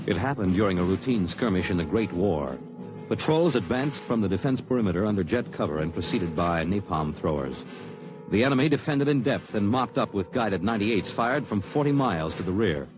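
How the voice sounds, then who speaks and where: A man's voice: on the low side, animated, fairly quick, poor audio. A man announcing and narrating in a dramatic, declamatory 1950s radio style. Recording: old radio broadcast, loud music.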